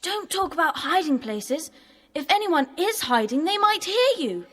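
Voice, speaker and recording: An outspoken, excitable young schoolgirl in a children's audio play. The voice is extremely high and bright, with big swings in pitch. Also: a little slow, heavily acted. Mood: alert. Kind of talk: children's audio drama acting.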